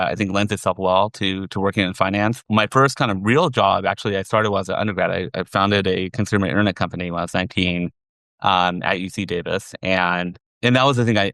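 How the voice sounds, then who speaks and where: A middle-aged man with an American accent, giving measured, explanatory answers as an interview guest. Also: sits low, fairly bright tone, dry recording. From southern California, USA. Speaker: interview guest, finance executive.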